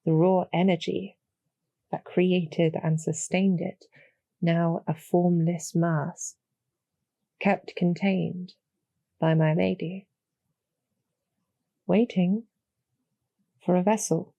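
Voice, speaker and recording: Calm, knowing succubus demoness played by a woman for audio roleplay: dark, mellow, low and gravelly, intimate, measured persuasion, very close dry sound. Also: dry recording, heavily acted. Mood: solemn, coaxing. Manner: measured, deliberate, softly persuasive. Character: calm, knowing demoness succubus archer, former hero, loyal to her queen.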